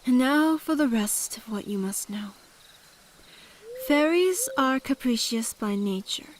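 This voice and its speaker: Woman acting formal, high-voiced mysterious forest woman. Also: slight background noise, natural voice, low-quality recording. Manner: formal, measured, old-fashioned phrasing. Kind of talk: audio drama acting.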